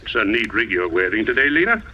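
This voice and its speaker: A man's voice: fairly low pitch, very animated, fast, a little hiss or hum. A man playing a brutish, callous garage owner in an old radio drama, mocking and drawling as he talks. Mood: mocking, sneering. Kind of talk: 1940s radio drama acting. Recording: dull old radio recording, faint music.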